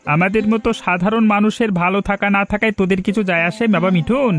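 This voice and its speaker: An actor voicing a stern older village uncle in a cartoon, scolding and rallying people in a quick, forceful way. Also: male voice, high-pitched, soft background music, close to an everyday voice. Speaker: village elder. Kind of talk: cartoon voice acting.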